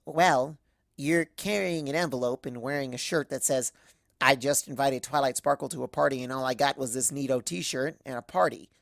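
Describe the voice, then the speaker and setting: A woman's voice: rumbling low, moderate pace, gravelly, no echo, studio quality, voice pushed down. A woman voicing a tired, bookish young female pony in a subdued, matter-of-fact way, in a story reading. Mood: dry, patient.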